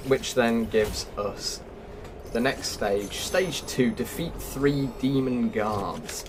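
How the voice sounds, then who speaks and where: A man's voice: medium pitch, takes it slowly, noisy background. A man hosting a video game walkthrough, giving casual running commentary on the play. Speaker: gaming video host.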